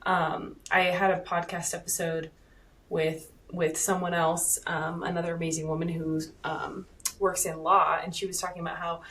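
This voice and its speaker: A woman's voice: fairly low pitch, far from the mic, slight background noise. A young adult woman hosting a podcast, affirming her guest in long, rambling sentences with casual language. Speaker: podcast host. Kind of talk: casual interview conversation.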